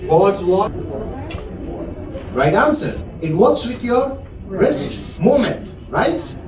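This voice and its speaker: A man's voice: high voice, big pitch swings, takes it slowly. A middle-aged man from India with an Indian English accent, giving a chatty talk to a live audience and asking them questions. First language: not English. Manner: chatty, asks the crowd questions. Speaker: watch specialist presenter. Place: room at a live event. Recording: echoey room, speaker far from mic.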